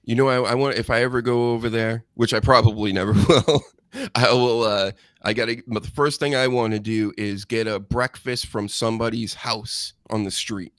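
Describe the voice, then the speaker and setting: A man's voice: mid-range voice, steady tone, moderate pace, fairly bright tone, dry recording, studio quality. An American man hosting a casual wrestling podcast, joking and rambling, often laughing. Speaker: podcast host.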